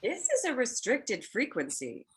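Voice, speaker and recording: Woman acting imperious space commodore, hugely swooping pitch, raspy edge, commanding, comic sci-fi. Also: wildly swooping pitch, measured pace, a bit bright, exaggerated voice. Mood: stern, affronted. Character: stern, commanding commodore of a battleship. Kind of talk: comic sci-fi table read.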